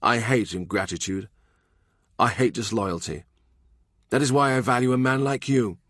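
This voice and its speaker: A man's voice: mid-range voice, moderate pace, a bit bright, dry recording, studio quality, natural voice. A man voicing a commanding, middle-aged English king from a historical audiobook, with an imperious, emphatic delivery. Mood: grave, resentful.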